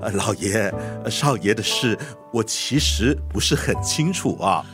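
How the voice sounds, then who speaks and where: A man's voice: on the low side, lively intonation, unhurried. A man playing a hesitant, apologetic, loyal older family driver in a Mandarin radio drama. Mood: nervous, evasive. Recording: guitar music behind dialogue.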